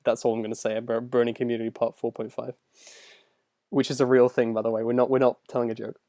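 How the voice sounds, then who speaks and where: A man's voice: mid-range voice, little pitch movement, fast talker, dark tone, no echo, studio quality. A young man casually answering viewer questions on a livestream, rambling and self-deprecating as he goes. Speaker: animator and video creator answering viewer questions.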